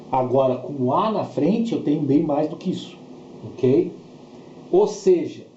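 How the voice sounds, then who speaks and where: A man's voice: on the high side, mellow. A man with a Brazilian accent teaching a bilingual grammar lesson, explaining didactically with repetition and short check questions, in a dull, roomy recording with faint noise. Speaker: English teacher.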